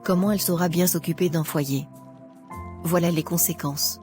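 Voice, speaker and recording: Woman playing a preachy, judgmental young woman, low soft-toned voice. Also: steady tone, moderate pace, natural voice. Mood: smug, gossipy. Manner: preachy, lecturing. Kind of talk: scripted cartoon dialogue. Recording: music behind the voice.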